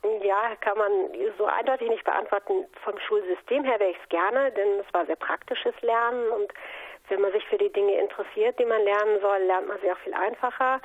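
A woman's voice: medium pitch, moderate pace. A woman author answering interview questions in a reflective, explanatory way. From North Sea island, Germany. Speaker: interview guest, author and singer. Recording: narrow telephone-like radio sound.

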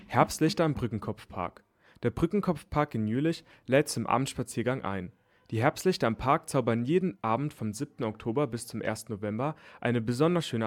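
A man works as a newsreader, delivering scripted news items written for children. His pitch sits in the middle range for a male voice, and he reads in the style of a radio bulletin.

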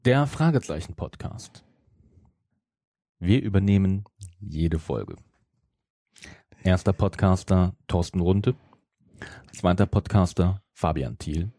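A man's voice: deep voice, animated, slow, pauses often, dark tone, dry recording, studio quality. A man, chatting casually as a podcast co-host. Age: 40s. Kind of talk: casual chat about audio dramas.

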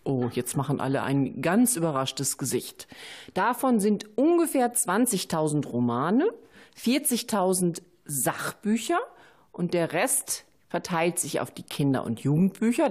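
Low-voiced woman with lively intonation. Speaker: library director, interviewee. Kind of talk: informal interview answers.

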